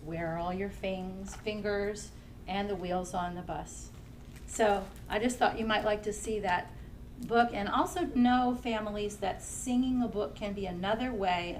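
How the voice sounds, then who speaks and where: A woman children's librarian leading a family storytime, singing nursery songs in English and simple Spanish and gently encouraging young children to join in. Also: mid-range voice, slight background noise. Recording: somewhat distant from the mic, faint music.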